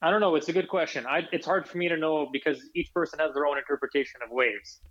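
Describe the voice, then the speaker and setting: A young Canadian man answering interview questions in a relaxed way, often repeating words as he thinks. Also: fairly high pitch, fast talker, muffled recording. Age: young adult. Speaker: guest.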